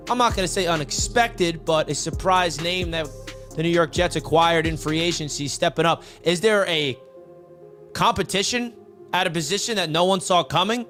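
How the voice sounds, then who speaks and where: A young man hosting a live sports talk stream, his voice raspy and worn from yelling, talking in a hyped, casual way and often tossing in "man.". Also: high voice. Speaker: sports podcast host. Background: soft background music.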